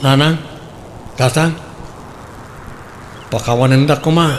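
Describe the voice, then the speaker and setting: A man's voice: medium pitch, takes it slowly, soft background music, close to an everyday voice. A man playing an earnest, apologetic young student boyfriend in an Ilocano radio drama.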